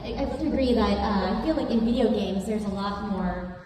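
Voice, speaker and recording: A woman's voice: medium pitch, fairly quick. A woman voice actor speaking thoughtfully and a little hesitantly on a convention panel. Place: large convention hall. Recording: echoey hall from far off, noisy.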